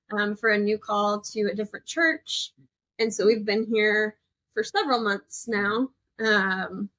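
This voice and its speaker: A woman's voice: medium pitch, pushed and bright. A young woman with a mid-range voice recounts her own life as a podcast guest. The sound is dry and free of room echo, and her delivery is conversational. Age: young adult. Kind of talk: conversational personal story.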